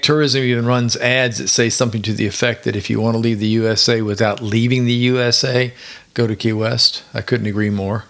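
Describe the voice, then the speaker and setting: Hoarse, gravelly retired podcast host. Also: male voice, medium pitch, a bit bright. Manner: chatty, rambling storyteller with easy chuckles. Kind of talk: casual solo podcast monologue.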